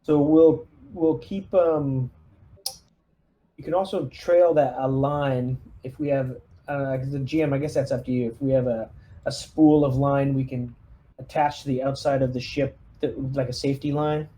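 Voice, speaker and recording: A man's voice: mid-range voice, fairly even intonation, normal speed, mellow, poor audio. A man chatting casually as a player in a tabletop roleplaying game, taking charge and organizing the group over an online voice call. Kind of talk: casual game-session chat.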